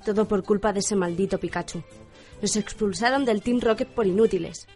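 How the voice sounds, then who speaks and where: A woman's voice: mid-range voice, a little fast, darkish tone, soft background music, lightly acted. A woman playing a bitter, bossy villainess in a comic radio play, melodramatic and commanding.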